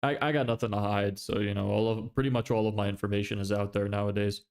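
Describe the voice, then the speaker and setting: A young man from Lithuania who grew up in Ireland, chatting casually on a livestream, relaxed and rambling with many restarts. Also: on the low side, fast talker, smooth. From Lithuania, raised in Ireland. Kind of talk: casual livestream chat, reading chat.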